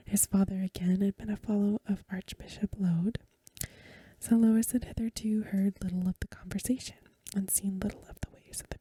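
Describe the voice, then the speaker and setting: A woman reads a story aloud in a hushed, breathy, mostly whispered ASMR style, unhurried and soothing, close to the microphone. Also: medium pitch, dry recording. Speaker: ASMR reader and host. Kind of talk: soft-spoken ASMR reading of a Victorian story.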